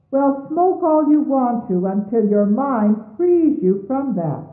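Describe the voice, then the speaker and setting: Slow, high-voiced woman on echoey narrowband recording. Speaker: religious leader reading scripture aloud. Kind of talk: devotional reading of transcribed sermons.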